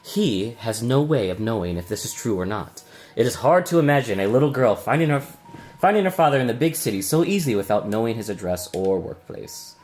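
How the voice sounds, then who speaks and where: A man's voice: mid-range voice, animated, a little fast, darkish tone. A man co-hosting a let's play, reading game narration aloud and joking casually. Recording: game music behind the voice. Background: music.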